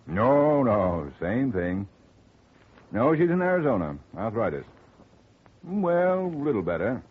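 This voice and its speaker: An actor playing a busy, glib middle-aged lawyer, brisk and folksy, in 1950s radio style. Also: male voice, mid-range voice, sing-song, breathy edge, heavily acted. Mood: chatty, distracted. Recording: muffled, noisy old radio recording.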